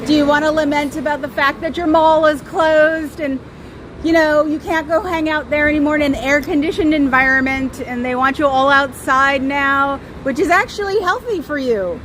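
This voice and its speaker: A woman with a high voice hosting a casual livestream outdoors, rambling and sarcastic as she talks straight to her listeners. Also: steady tone, moderate pace, fairly bright tone, a little hiss or hum. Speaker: livestream host.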